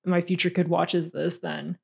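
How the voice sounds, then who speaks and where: A young woman co-hosting a podcast in a small room, talking in a relaxed, reflective way and laughing often, close to a studio microphone. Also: fairly low pitch, measured pace, gravelly. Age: young adult. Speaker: podcast co-host. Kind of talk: casual chat. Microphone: boom mic on stand.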